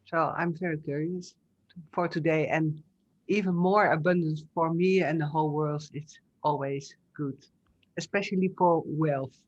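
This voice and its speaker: A woman with a Dutch accent introduces herself on a video call, choosing her words carefully. Her voice sits low, and the audio is dull and lo-fi. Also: steady tone, unhurried. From Netherlands. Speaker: webinar attendee.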